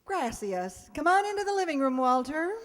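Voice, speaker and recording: An actress playing a wry, wisecracking single schoolteacher in an old-time radio comedy, with a dry, sardonic delivery. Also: female voice, high voice, sing-song, moderate pace. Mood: welcoming, flustered. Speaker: lead actor and narrator. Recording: echoey hall, long reverb.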